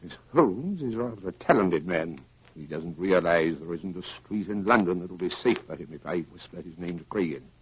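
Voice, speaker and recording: An actor playing a cold, calculating criminal mastermind, deliberate and ominous, in 1930s radio style. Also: male voice, low voice, animated, slightly rough. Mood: brooding threat. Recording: dull, hissy 1930s radio broadcast.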